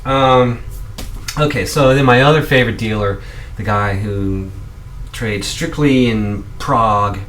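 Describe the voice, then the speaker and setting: A man's voice: fairly low pitch, takes it slowly, fairly bright tone, distant mic. A man hosting his own video, chatting casually and rambling as he shows the records he found. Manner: rambling, chatty, with many ums and restarts. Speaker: vlog host showing records. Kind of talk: casual show-and-tell. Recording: voice a bit off-mic, faint background noise.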